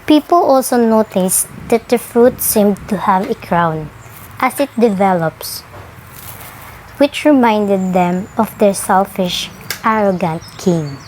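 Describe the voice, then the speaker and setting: A woman's voice: mid-range voice, slow pace, a little mellow, a little hiss or hum, poor audio. A woman reading folktales aloud as scripted narration. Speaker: storyteller.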